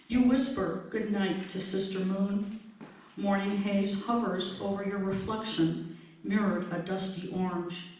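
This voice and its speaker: A woman reading her own poem aloud in a measured recital. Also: mid-range voice. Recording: very echoey room, distant mic, faint noise.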